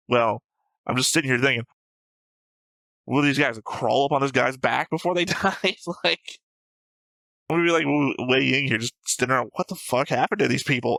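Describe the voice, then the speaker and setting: A man hosting a casual reaction show in a bright, forceful voice with a gravelly edge. He is blunt and sweary, argues his points, and the sound is dry and close. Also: mid-range voice, no room sound. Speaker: host of anime reaction show. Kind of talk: casual chat.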